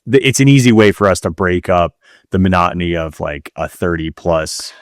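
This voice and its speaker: A man's voice: low voice, animated, normal speed, dry recording. A man co-hosting a podcast, chatting casually in loose, slangy, informal phrasing. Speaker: podcast co-host. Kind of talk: casual chat.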